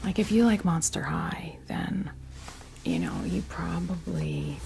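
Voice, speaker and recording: An American woman speaking in a soft, breathy near-whisper, chatting casually and hesitantly in an ASMR style close to a sensitive microphone. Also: fairly low pitch, wildly swooping pitch, slow. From United States. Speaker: ASMR video host. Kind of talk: ASMR, casual unboxing chat.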